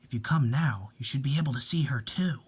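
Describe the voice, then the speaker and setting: Man as haunted, intense teenager, husky. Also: mid-range voice, a little fast. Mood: urgent, hushed.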